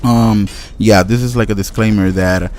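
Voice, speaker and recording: A man's voice: on the low side, fairly even intonation, moderate pace, a little mellow. A young man with a Spanish accent, a native Spanish speaker, giving casual gameplay commentary and stumbling over his words as he rambles. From Latin America. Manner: rambling, stutters and restarts often. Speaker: gaming YouTuber. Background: faint household noise, dog barking.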